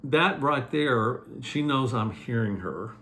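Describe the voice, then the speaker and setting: A man's voice: medium pitch, lively intonation, slow pace, pushed and bright, a little hiss or hum. A man coaching couples in easygoing, folksy conversation about relationships.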